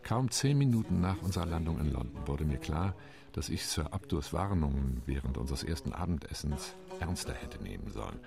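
An actor playing a UN commission delegate in a radio play, giving a measured, wry and indignant account. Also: male voice, very deep voice, big pitch swings, vocal fry, over music, full character voice. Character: male UN delegate, dignified, quietly indignant.

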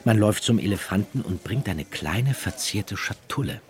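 A man narrates a literary radio drama from a script. His voice is deep, with wide intonation, and his delivery is measured and descriptive. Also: animated.

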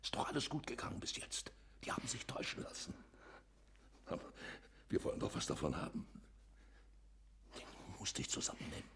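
An actor in a German radio play playing a shifty village innkeeper who justifies himself in a hesitant, wordy way. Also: male voice, low-pitched, sing-song, fairly quick, long pauses, a bit bright, slight background noise. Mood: tense, urging. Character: shifty, smooth-talking village innkeeper hiding a crime.

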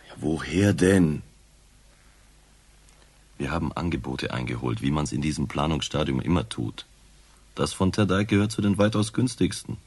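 An actor playing an indignant, self-justifying town mayor with a husky voice, officious and defensive, in a German radio crime drama. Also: male voice, extremely low pitch, expressive, normal speed, pauses often, a little mellow, full character voice.

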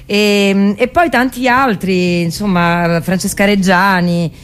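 A woman's voice: medium pitch, fairly even intonation, slow pace, a little hiss or hum. A woman co-hosting a radio show in casual, enthusiastic, informal chat.

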